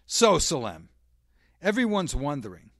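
A man's voice: on the high side, wildly swooping pitch, unhurried, dry recording, clean studio sound. A man hosting a scripted comedy interview, throwing out wisecracks and sarcastic questions. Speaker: host.

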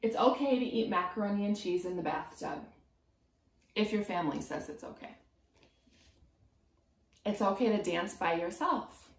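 Young woman reading storytime in a roomy space. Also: medium pitch. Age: 20s. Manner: simple, encouraging, teacherly phrasing with pauses. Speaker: preschool teacher reading a storybook. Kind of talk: children's storytime read-aloud with questions to young listeners. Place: small room at home, indoors. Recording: roomy indoor echo.

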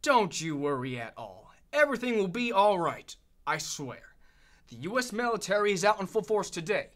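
Actor as cocky army commander. Fairly high, forceful, emphatic, radio drama. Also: male voice, very animated, moderate pace. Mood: defiant, reassuring. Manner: emphatic, assured. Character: confident, blustering US military commander.

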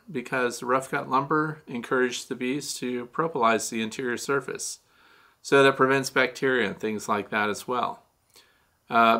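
A man's voice: medium pitch, bright, forceful tone. A man in his sixties hosting a beekeeping question-and-answer show, explaining in a chatty way with frequent fillers. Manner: chatty, gestures a lot, many "uh" fillers. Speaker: beekeeping Q&A host. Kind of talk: casual explanatory talk answering viewer questions. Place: small dim studio room with shelves.

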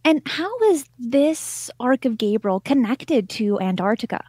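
Woman, thirties, show host, slightly high pitch, halting questions, close dry recording. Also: lively intonation, mellow, no room sound. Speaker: host, interviewer. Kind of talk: conversational interviewing. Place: indoor room, video call.

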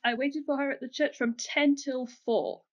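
Woman doing a hot-headed pompous major in a radio farce, highish voice, melodramatic, bit muffled. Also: fairly even intonation, normal speed. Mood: outraged. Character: blustering jealous male army major, hot-tempered jilted groom. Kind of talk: theatrical radio-play farce acting.

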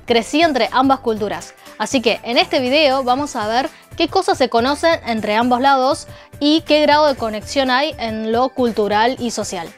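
A woman's voice: high voice, normal speed, faint music behind. A young woman from China, hosting a casual explainer video with wry asides, on a lapel mic indoors. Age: young adult. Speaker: host. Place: indoor room.

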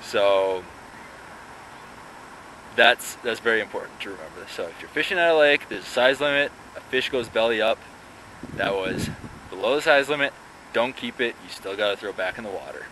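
A man's voice: on the low side, expressive, moderate pace, long pauses, pushed and bright, low-quality recording. A young man vlogging outdoors with a camera held at arm's length, talking in a chatty, rambling way. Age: young adult. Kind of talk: casual fishing vlog. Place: outdoors by a pond. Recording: faint outdoor background noise.

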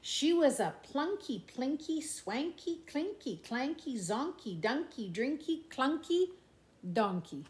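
Middle-aged female storyteller, slow and expressive picture-book delivery, playful sing-song lilt, roomy home recording. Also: high-pitched. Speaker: grandmother reading a picture book to grandchildren. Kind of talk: animated children's storybook read-aloud with asides. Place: indoors in a room at home. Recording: echoey room.

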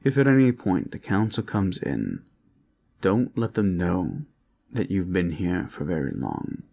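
A man performing an intimate audio roleplay as a protector, speaking in a reassuring, courteous, measured way. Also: sits low, big pitch swings, vocal fry, a little mellow. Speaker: roleplay voice actor, playing a gentle protector.

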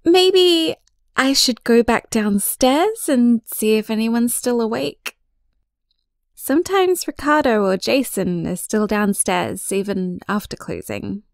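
A woman's voice: on the high side, takes it slowly, no room sound, studio quality. A woman playing an anxious, smitten young woman in an audio drama, nervous and self-doubting as she leaves a voicemail. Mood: nervous, fretting.

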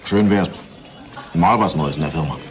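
A man's voice: sits low, moderate pace, close to an everyday voice. An actor playing a jovial, needling, cynical company man in a radio drama. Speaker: company colleague character. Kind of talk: German radio drama acting. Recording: crowd chatter behind the voice.

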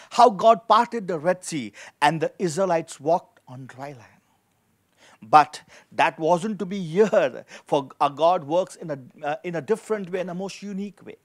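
A man in his forties preaching a sermon as a pastor, reading scripture and repeating key phrases with emphasis into a handheld microphone. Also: on the high side. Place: indoor studio with dark backdrop, at a lectern.

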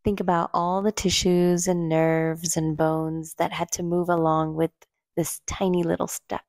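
A woman leading a guided meditation in a gentle, soothing, unhurried way, leaving long pauses between short phrases. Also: on the low side, little pitch movement, no echo, clean studio sound. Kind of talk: slow guided meditation narration.

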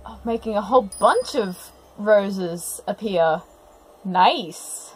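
Lady doing a laid back reaction, slow talker, gushes at cute stuff, bit of music under her. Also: medium pitch, expressive, rough recording. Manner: gushing over cute moments. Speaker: commentator reacting to an animated series. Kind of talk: casual live reaction commentary. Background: episode audio and music.

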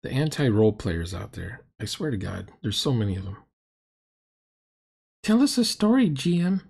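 A middle-aged man with a husky voice delivers casual commentary as a reacting host. His pitch swings widely as he makes exasperated, mocking points with strong emphasis. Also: very animated, normal speed, darkish tone. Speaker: host reacting to a game recording. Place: dim room indoors.

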